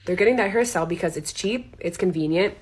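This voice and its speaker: A young woman rattles through an anecdote at a quick clip, her voice slightly low, chatty and full of fillers. She talks like she is venting to friends, with a casual curse thrown in. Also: slight background noise. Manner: chatty, lots of "like", swears casually. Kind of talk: storytime vlog.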